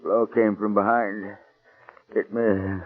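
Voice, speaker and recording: An actor playing a dazed, injured rich man who speaks in halting, groggy bits. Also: on the low side, flat delivery, husky, faint music behind. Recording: tinny 1940s radio broadcast.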